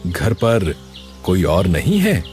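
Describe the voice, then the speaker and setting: Guy doing wandering baba in animated story, calm n wise, solemn, pitch moves a lot, music behind. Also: exaggerated voice. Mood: calm, knowing. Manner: measured, sage-like, solemn. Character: calm wandering holy man, kindly, knowing. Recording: music under the voice.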